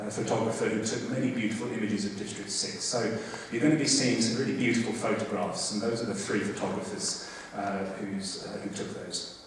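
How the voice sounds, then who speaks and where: A man hosting a university talk, giving background with many fillers and restarts, with a loud voice. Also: lowish voice, fairly even intonation, brisk, a little hiss or hum. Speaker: host introducing a guest lecture. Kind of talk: academic lecture. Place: large hall. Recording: echoey hall from far off.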